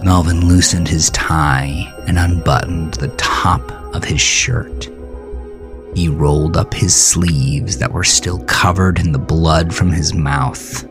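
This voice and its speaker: Deep, slow male horror narrator. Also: steady tone. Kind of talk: scripted horror story narration. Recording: music bed behind narration.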